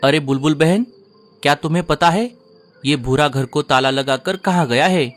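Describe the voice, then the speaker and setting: A man voicing a caring male sparrow husband who speaks gently and reassuringly in a cartoon. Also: on the high side, moderate pace, lightly acted.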